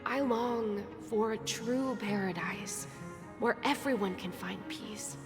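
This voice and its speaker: A woman voicing a gentle, thoughtful young boy in a game, soft, tender and measured. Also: mid-range voice. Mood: tender, earnest. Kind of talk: scripted game voice acting. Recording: long reverb under music.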